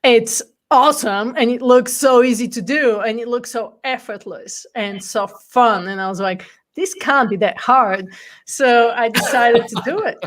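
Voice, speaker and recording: A woman's voice: fairly high pitch, unhurried. Woman in her forties, interview guest, candid, frequent ums. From Bulgaria. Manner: frequent ums and light laughter, candid storytelling. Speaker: guest speaker. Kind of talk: casual interview chat.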